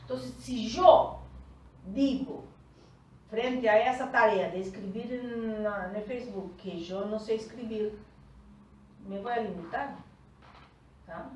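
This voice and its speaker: A woman's voice: fairly high pitch, darkish tone, slight background noise. A woman coach, a native Portuguese speaker, teaching a group in Spanish and often checking in with her listeners. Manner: explains slowly, often checking in with "¿tá?". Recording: echoey room, far from the mic.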